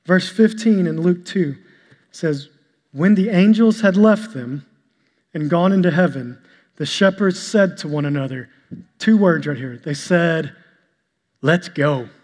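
A male pastor preaching a sermon to his congregation, with an even delivery he describes as monotonous. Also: fairly high pitch. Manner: calls himself monotonous and boring-voiced; preaching, shifts to a soft, slow prayer. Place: church sanctuary. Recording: long hall reverb with soft instrumental music.

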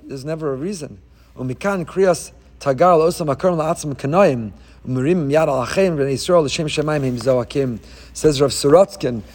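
A man's voice: fairly high pitch, slightly rough. A man giving a Torah lesson, telling the story with energy, acting out the people he quotes and mixing Hebrew verses into his talk. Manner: animated storytelling, acts out quoted figures. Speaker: Torah teacher.

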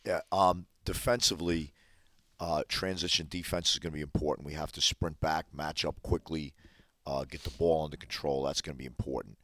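Coach guy with a super deep voice but a bright edge, breaking down the game plan step by step. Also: steady tone, fairly quick, no room sound. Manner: methodical, explaining game plans point by point. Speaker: basketball coach. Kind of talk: podcast interview.

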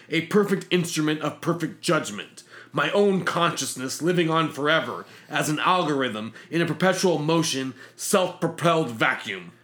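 A man's voice: fairly high pitch, sharp tone. A man narrating a comic sci-fi story in a pompous, self-important, theatrical manner. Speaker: narrator reading a fictional diary.

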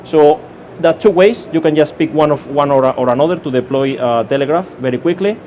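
A man's voice: on the high side, brisk, darkish tone, muffled recording, slight background noise. A man from Spain with a Spanish accent, giving a technical conference talk with many fillers and restarts. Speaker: conference speaker. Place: conference session room.

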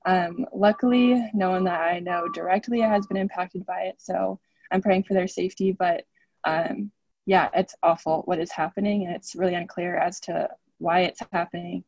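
A woman's voice: on the low side, steady tone, normal speed, darkish tone, no room sound, dull audio. A woman in her twenties from Oregon, a student athlete chatting upbeat as a podcast guest, laughing often. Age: 20s. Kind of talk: podcast interview.

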